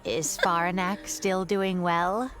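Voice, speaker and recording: An older woman scholar in a video game. Her voice sits very low for a woman. She speaks in measured, reflective phrases with a composed, wry edge, over faint music. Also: steady tone, fairly bright tone. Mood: fond, reflective. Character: elderly woman scholar, former teacher, composed and reminiscing. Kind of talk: scripted video game dialogue.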